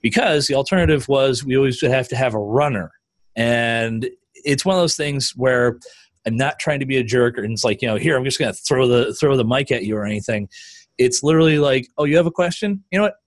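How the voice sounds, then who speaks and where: A man hosting a podcast, with a chatty, loose way of talking and an easy laugh. His voice sits at a middle pitch and sounds dry and close to the mic. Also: brisk, dry recording. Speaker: podcast host. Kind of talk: casual chat.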